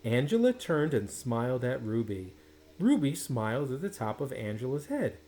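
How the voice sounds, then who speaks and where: A man reading a children's storybook aloud in an expressive, dramatic way, drawing out words for effect. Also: medium pitch. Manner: animated, dramatic pauses and drawn-out words. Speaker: storybook reader. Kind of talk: expressive children's picture book read-aloud.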